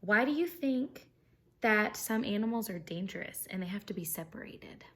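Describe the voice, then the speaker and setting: A woman's voice: medium pitch, animated, normal speed. A woman teacher reading a children's picture book aloud expressively, explaining things simply and putting questions to her young listeners.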